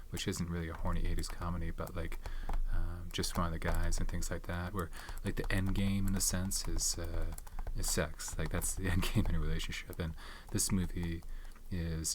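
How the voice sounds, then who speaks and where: A soft-spoken man in his thirties hosting an ASMR channel, rambling casually about movies with many fillers and some whispered words. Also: sits low, noisy background. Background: tapping and keyboard clicks.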